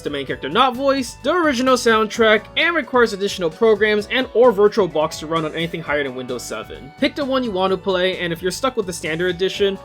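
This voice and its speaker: A man narrates a scripted video review at a quick clip. His voice sits high and moves around animatedly in pitch. He talks informally, like a fan presenting a favorite. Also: a bit bright. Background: game soundtrack music.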